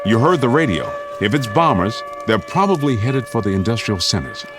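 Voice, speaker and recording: A man's voice: mid-range voice, very animated, normal speed, over music, natural voice. An actor playing a firm middle-aged American father in a radio drama, giving terse, authoritative orders. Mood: urgent, commanding. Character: firm suburban father, commanding, keeps his head in crisis. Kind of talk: vintage-style radio drama acting.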